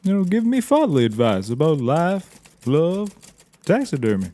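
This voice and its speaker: A man's voice: a little high, sing-song, normal speed, warm tone. A man playing a naive, folksy taxidermist and tracker in a scripted audio comedy, rambling with drawled interjections. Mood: earnest, rambling.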